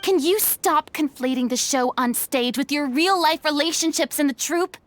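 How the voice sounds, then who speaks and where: A woman's voice: sits high, normal speed, soft background music, close to an everyday voice. An actress playing a commanding young woman in a video game, stern and lecturing as she scolds others.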